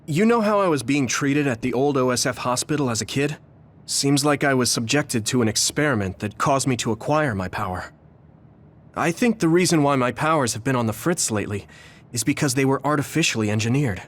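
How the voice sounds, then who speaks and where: An actor playing an earnest, modest young male rebel soldier in an anime game, speaking in a measured way. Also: medium pitch, expressive, close to an everyday voice. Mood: somber, resolved. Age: young adult. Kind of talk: anime game cutscene dialogue. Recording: studio voice over game music.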